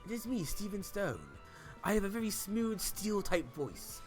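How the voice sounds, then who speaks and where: A man voicing a suave, self-assured male champion trainer in a smooth, hushed tone. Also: high-pitched, animated, measured pace, soft background music, pitched above the performer's own voice.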